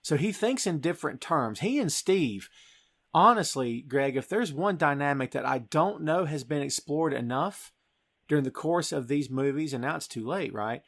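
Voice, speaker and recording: A man's voice: fairly high pitch, expressive, moderate pace, fairly bright tone. A middle-aged American man hosting a podcast, talking about film in a casual, emphatic, conversational way. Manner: emphatic, conversational, addresses listener as "dude". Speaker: podcast host.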